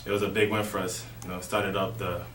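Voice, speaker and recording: A young man from Miami, a college baseball player, answering interview questions in a relaxed way with lots of "you know". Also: low-pitched, brisk. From Miami, Florida. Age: young adult. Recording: roomy, distant mic with faint noise.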